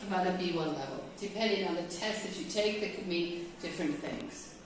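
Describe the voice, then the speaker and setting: A woman's voice: fairly low pitch, a little fast, noisy background. A woman from California leading a classroom discussion as an English teacher trainer, chatty and checking in with her group. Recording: roomy echo, mic from a distance.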